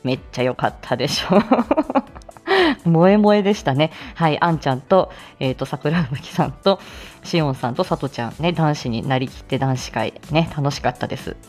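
A woman's voice: low voice, lively intonation, moderate pace, darkish tone, soft background music. A woman hosting a casual live audio stream, chatting to listeners in a giggly, playful way. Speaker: speech-language therapist.

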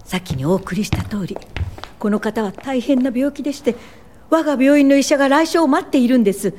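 A woman's voice: sits high, expressive, brisk, a little mellow. A woman playing a determined, compassionate pioneer social worker, earnest and polite, in a radio drama. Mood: pleading.

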